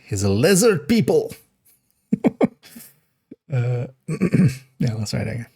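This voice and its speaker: A man with a hoarse, raspy throat chats through a game stream in a loose, rambling way, laughing at his own slips and starting over. His pitch lifts and drops a lot as he talks. The voice sits in a middle register for a man. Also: very animated, slow pace, a little mellow. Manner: rambling, self-correcting, laughs at himself. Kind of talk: casual gaming stream chat.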